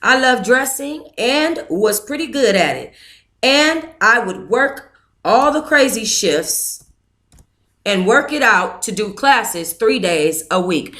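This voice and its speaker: A woman's voice: fairly high pitch, lively intonation, takes it slowly, a bit bright. A woman hosting a book club reads a memoir aloud in the first person and stops now and then to thank listeners and comment. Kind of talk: reading a memoir aloud with asides.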